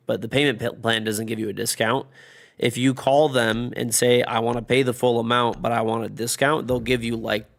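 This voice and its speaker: Gravelly, profane streamer losing his voice. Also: medium pitch, fairly bright tone. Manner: loose, profane, rambling. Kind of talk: casual chat with stream chat.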